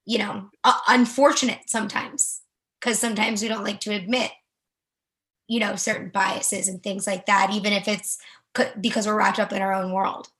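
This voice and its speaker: Woman chatting casually, medium pitch, kinda tentative, lots of likes and you knows. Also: little pitch movement, moderate pace, a bit bright. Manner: tentative, hedging with frequent "like" and "you know". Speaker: workshop participant giving feedback. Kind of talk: casual appreciative feedback.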